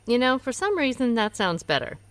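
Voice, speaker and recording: A cool-headed, shrewd woman with a dry, wry way of talking and a lively up-and-down tone. A woman acts her out close to the mic with no room sound. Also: on the high side, brisk, no room sound. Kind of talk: amateur radio play acting.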